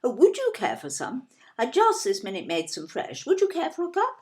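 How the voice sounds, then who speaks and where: Genteel, fussy older Englishwoman, very expressive. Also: on the high side. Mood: polite, chatty. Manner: polite, gushing, brisk hostess. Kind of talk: audio play acting.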